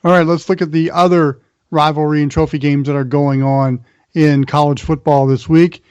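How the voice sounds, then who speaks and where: A man's voice: on the high side, level tone, moderate pace, a little mellow, smooth, no room sound, dull audio. An American man hosting a sports show, running through college football news and previews. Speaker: sports radio show host. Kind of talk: sports round-up, partly scripted narration.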